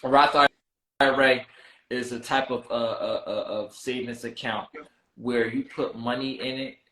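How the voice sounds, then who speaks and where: A man's voice: mid-range voice, steady tone, measured pace, bright, forceful tone. A man in his thirties asking for advice in a casual chat, rambling and restarting his sentences. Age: 30s. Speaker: guest seeking advice. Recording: roomy echo.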